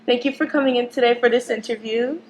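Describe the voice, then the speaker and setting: A woman's voice: fairly high pitch, quick pace, a little hiss or hum. A young woman interviewing a guest, asking short questions and giving brief encouraging prompts.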